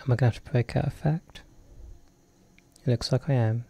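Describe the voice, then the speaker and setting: A man's voice: mid-range voice, steady tone, a little fast, creaky, dark tone. A man with a cold giving jokey, chatty commentary while playing a game, reading the on-screen text aloud. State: has a cold. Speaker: let's play host. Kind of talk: casual gameplay commentary.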